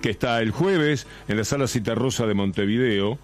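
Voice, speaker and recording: A man's voice: medium pitch, moderate pace, soft background music. A man hosting a casual radio interview, chatty and full of questioning tags. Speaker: radio host.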